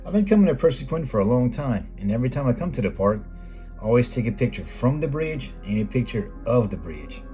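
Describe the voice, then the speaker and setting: A middle-aged American man reading a scripted voice-over in a measured, plain explanatory way, as the host of a photography video. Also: medium pitch, big pitch swings, mellow. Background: background music.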